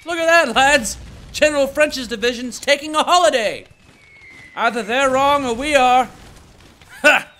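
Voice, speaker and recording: Male narrator as jeering soldier, very high voice, sharp edge, incredulous, story character. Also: unhurried, long pauses, exaggerated voice. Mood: mocking, incredulous. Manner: loud, incredulous exclamations. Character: brash, mocking soldier shouting to his comrades. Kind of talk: dramatized audiobook character voice.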